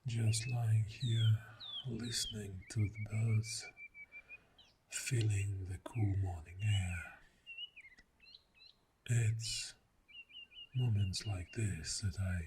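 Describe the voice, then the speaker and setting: A man playing a clingy, tender boyfriend in an ASMR roleplay, speaking softly and intimately, often in a breathy whisper with lingering murmurs. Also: deep voice, heavy background noise. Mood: contented, cuddly. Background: birdsong and outdoor ambience.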